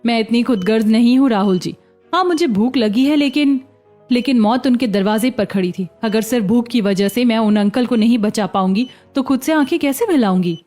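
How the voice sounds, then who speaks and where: A woman's voice: fairly high pitch, expressive, moderate pace, warm tone, faint music behind, natural voice. A woman voicing a kind, proud young beggar girl in a cartoon story. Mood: earnest.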